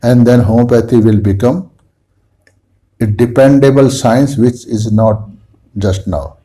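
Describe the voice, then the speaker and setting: A man with an Indian accent, a native Hindi speaker, giving an earnest lecture on homeopathy to camera, slow and halting with restarts. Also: mid-range voice, mellow. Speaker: homeopathy teacher.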